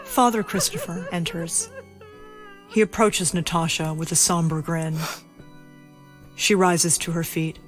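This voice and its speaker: A woman's voice: lowish voice, big pitch swings, unhurried, long pauses. Woman narrating a horror drama over music.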